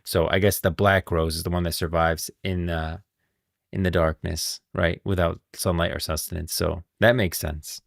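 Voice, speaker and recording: Deep-voiced, dry-miked man streaming casually. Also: flat delivery, normal speed, creaky, dry recording. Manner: informal, slangy, thanks viewers often. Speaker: livestream host. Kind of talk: casual livestream chat and reaction commentary.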